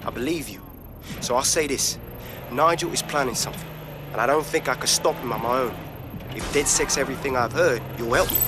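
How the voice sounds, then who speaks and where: An actor playing a paranoid, defiant young British hacker in a video game, sweary and sarcastic. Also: male voice, medium pitch, brisk, natural voice. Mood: guarded, explanatory. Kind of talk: video game cutscene acting. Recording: clearly noisy game sound effects behind.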